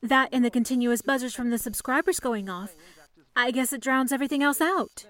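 A woman playing a polite, anxious young telephone operator in an old-fashioned radio drama, with courteous, soft-spoken reassurance. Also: high-pitched, fairly quick. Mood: calm, apologetic. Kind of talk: 1940s radio drama acting.

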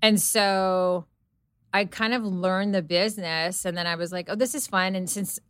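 A woman's voice: mid-range voice, fairly even intonation, moderate pace, fairly bright tone, clean tone, dry recording. A middle-aged woman, a guest on an interview show, telling her story casually with lots of fillers and quoted inner thoughts. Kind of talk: casual chat.

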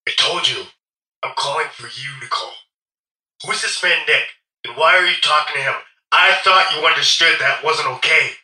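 A scratchy, menacing male caller, as a woman narrator puts him on, speaking in short, clipped commands. The voice sits low and bright-edged, heard from a distance in a roomy, echoing space. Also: normal speed.

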